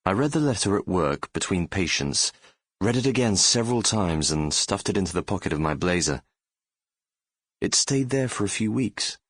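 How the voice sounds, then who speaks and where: An actor playing a nostalgic, regretful middle-aged doctor, narrating an audiobook in a measured, reflective way. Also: male voice, sits low, slightly rough, no room sound, clean studio sound, exaggerated voice. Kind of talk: first-person audiobook narration.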